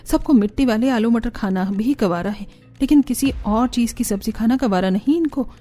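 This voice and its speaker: A woman's voice: on the high side, moderate pace, warm tone, close to an everyday voice. A woman playing a harried, theatrical young daughter-in-law in a cartoon family drama. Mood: exasperated, sarcastic. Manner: complaining, theatrical, sarcastic. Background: background music.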